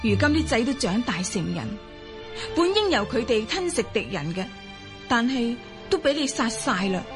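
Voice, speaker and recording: A woman's voice: fairly high pitch, very animated, moderate pace, music in the background. A woman playing a khan's resolute middle-aged wife in a Cantonese radio drama, formal and insistent. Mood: urging, fierce.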